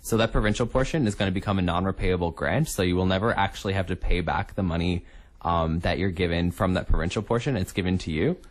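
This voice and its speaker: A man's voice: sits low, fast. A young adult man, a guest in a casual radio interview in a studio. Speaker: interview guest, incoming student union executive.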